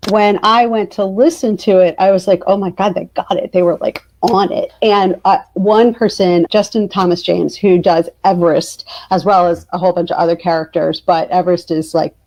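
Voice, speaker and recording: A woman's voice: lowish voice, fairly even intonation, moderate pace, few pauses, darkish tone, rough recording. A woman author speaking casually and enthusiastically as an interview guest, repeating words for emphasis.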